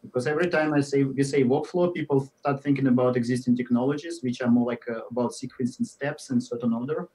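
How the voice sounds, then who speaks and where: A man in his forties speaks with a Russian accent and a dark, mellow tone. He explains things haltingly, with plenty of uhs and restarts, and his voice sinks quieter as sentences trail off. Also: medium pitch, steady tone, low-quality recording. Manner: halting, many fillers and restarts, drifts quieter at phrase ends. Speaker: guest software engineer. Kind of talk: podcast interview answers.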